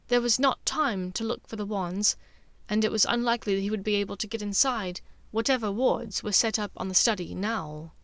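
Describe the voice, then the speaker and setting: A woman's voice: medium pitch, dry recording. A woman reading scripted fiction aloud as its narrator. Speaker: fan fiction author and narrator.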